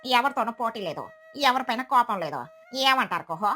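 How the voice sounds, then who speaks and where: Lively, bright, friendly crow played by a woman. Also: on the high side, normal speed. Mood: reassuring. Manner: warm, reassuring. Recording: music behind the voice.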